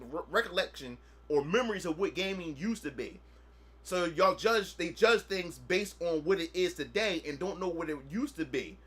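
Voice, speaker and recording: A man in his thirties talks loosely to his stream audience, circling back over the same points. His voice sits high for a man and has a bright, sharp edge. He keeps the tone casual and conversational throughout. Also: breathy edge. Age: 30s. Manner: rambling, repetitive, often asks "you know what I'm saying". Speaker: gaming streamer. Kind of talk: casual livestream chat.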